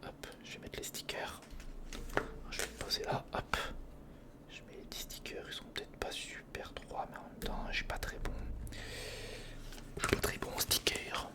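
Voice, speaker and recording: A man's voice: normal speed, long pauses. A man whispering informal ASMR commentary close to the microphone, murmuring step by step to himself and the viewer as he works. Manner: murmured, informal running self-talk addressed to the viewer. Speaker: ASMR video creator. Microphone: close recorder microphone.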